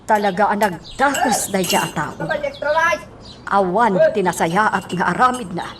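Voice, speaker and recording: A woman playing a motherly older woman in a radio drama, cautioning and moralizing in a measured way. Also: medium pitch, a bit bright, slight background noise, close to an everyday voice. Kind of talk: Ilocano radio drama acting.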